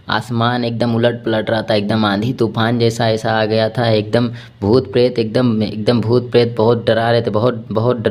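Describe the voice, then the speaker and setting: A man from northern India giving a testimonial on a recorded phone call as a disciple, halting, repetitive and deferential. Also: mid-range voice, a little mellow. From Shahjahanpur, Uttar Pradesh, India. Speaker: disciple and caller.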